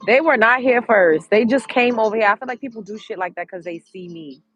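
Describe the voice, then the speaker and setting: A woman's voice: on the high side, a little fast, fairly bright tone, highs cut off, faint music behind. A congested woman from Brooklyn, chatting bluntly and profanely on a live stream, outdoors on a street, reading viewer comments. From Brooklyn, New York.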